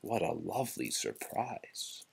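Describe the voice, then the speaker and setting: A man's voice: sits low, sing-song, slow, creaky, husky. A man reading a rhyming children's story aloud, with theatrical, rhythmic phrasing. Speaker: storybook reader. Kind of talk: expressive children's read-aloud of rhyming verse.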